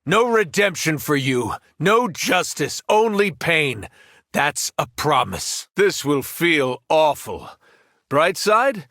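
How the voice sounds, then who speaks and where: An actor playing a powerful, cruel superhero turned villain in a video game, arrogant and taunting. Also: male voice, fairly high pitch, sing-song, slow pace, fairly bright tone, no room sound, studio quality, full character voice. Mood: cold threat. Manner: taunting, menacing, condescending.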